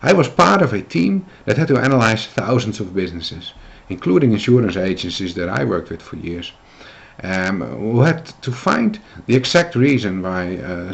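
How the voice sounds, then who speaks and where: Male consultant, Dutch accent, deeper voice, earnest explainer-video delivery. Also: vocal fry, husky. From Netherlands. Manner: earnest, measured, lecturing to the viewer. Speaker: business consultant.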